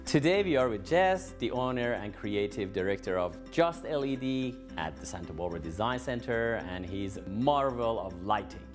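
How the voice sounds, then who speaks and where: A male TV host presents a home design program. He speaks effusively and pays generous compliments to guests and their work. Light music plays underneath his voice, which sits at an ordinary male pitch. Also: lively intonation, normal speed, hardly any pauses. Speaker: TV show host. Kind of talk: presenting and interviewing for a design show.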